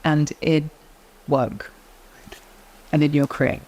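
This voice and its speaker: A middle-aged woman, a guest in an interview, speaking in a quiet, measured way as she gives advice. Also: low-pitched, little pitch movement, poor audio. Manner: soft-spoken, measured, advice-giving. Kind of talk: interview conversation.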